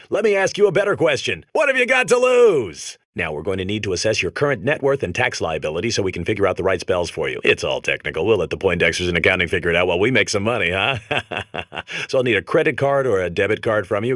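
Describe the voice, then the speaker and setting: A man's voice: on the low side, a bit bright, no echo, studio quality. A man voicing a slick, pushy scam-salesman robot in a comedy game, smarmy and over-familiar. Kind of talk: comedic sales pitch acting in a video game.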